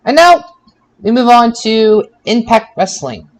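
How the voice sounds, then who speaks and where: A man's voice: very high voice, very animated, unhurried, gravelly, a little hiss or hum. A man hosting a podcast, giving a casual recap of wrestling shows. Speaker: podcast host.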